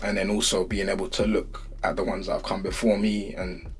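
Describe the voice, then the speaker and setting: A man's voice: deep voice, level tone, brisk, soft background music. A man reflecting casually on his own life as an interviewee.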